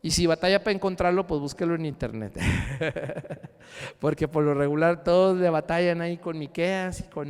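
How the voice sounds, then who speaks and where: A pastor preaches in a church hall, his high, smooth, ringing voice trailing off into a long reverb. He rises to loud, climbing cries, then drops to hushed, low asides. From Mexico. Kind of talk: sermon. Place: church sanctuary.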